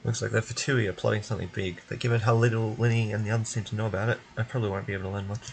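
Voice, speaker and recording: Guy streamer reading game text out loud, really fast, flat and low. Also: vocal fry, slight background noise, rough recording.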